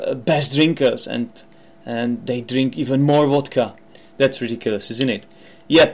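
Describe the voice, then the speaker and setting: A man from the Czech Republic with a Czech accent, an English teacher explaining idioms on his podcast in a chatty way, with many fillers and restarts. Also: medium pitch.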